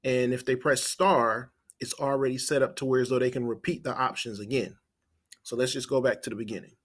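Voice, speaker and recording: A man's voice: medium pitch, fairly even intonation, fairly quick. A man presenting an informal screen-share tutorial as a marketing trainer, explaining step by step and thinking aloud as he types.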